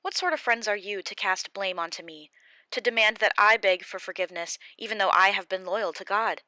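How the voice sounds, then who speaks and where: A woman's voice: on the low side, normal speed, bright, forceful tone, no echo. A woman voicing Job, an anguished, defiant old man, in an impassioned, raised pleading delivery for an audiobook. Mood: impassioned defiance. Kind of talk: audiobook character reading.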